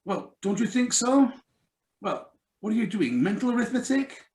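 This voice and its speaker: A man's voice: sits high, very animated, normal speed, darkish tone, reverberant. A man acting a cynical, menacingly polite blackmailing ex-husband in an old-style radio suspense play read over a video call. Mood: sardonic. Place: remote live reading over video call.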